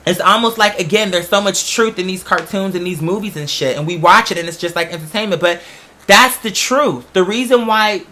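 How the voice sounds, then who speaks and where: A host with a bright, forceful voice at a middling pitch talks casually and directly to followers. The delivery is candid and rambling, full of familiar slang, like an unscripted chat with friends. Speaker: online show host. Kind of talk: casual conversational monologue to followers.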